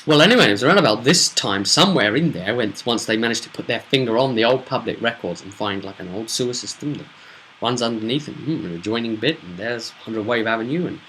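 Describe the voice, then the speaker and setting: Bright-voiced man recapping a tabletop game. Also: mid-range voice, expressive, fast, slight background noise, poor audio. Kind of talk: casual solo recap narration.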